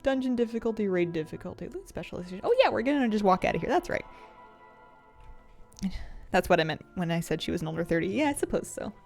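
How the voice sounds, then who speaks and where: A woman in her thirties chatting casually while she plays a game, rambling and halting with restarts and soft laughs. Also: medium pitch, expressive, gravelly, faint music behind. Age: 30s. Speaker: streamer. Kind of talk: casual chat while gaming.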